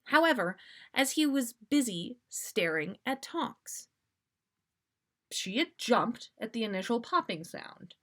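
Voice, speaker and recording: A woman's voice: a little high, sing-song, slow pace, a bit bright. A woman reading a story aloud expressively, as a podcast host would.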